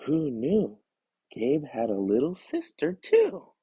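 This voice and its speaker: A man reading a children's story aloud in an expressive storytelling style. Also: a little high, takes it slowly, slightly rough, dry recording, highs cut off. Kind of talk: expressive storybook narration, first person.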